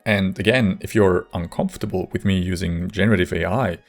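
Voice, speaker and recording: Male video narrator: lowish, creaky voice, measured, dryly wry. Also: fairly even intonation. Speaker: video creator and modder. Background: faint music.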